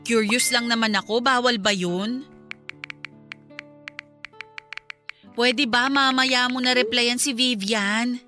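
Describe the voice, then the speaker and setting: A woman's voice: on the high side, steady tone, measured pace, bright, forceful tone, faint music behind. A woman with a Filipino accent playing a hurt, suspicious young wife in a radio drama. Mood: suspicious, exasperated. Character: hurt, suspicious young wife confronting her cheating husband.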